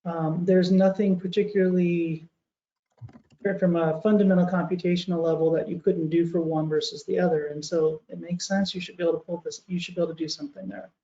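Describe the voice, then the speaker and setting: A woman speaking on a video call, her voice fairly low and mellow. The room sounds echoey and the audio is dull. She thinks aloud as she talks, restarting her phrases often. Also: steady tone, fairly quick. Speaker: panelist. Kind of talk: webinar panel discussion.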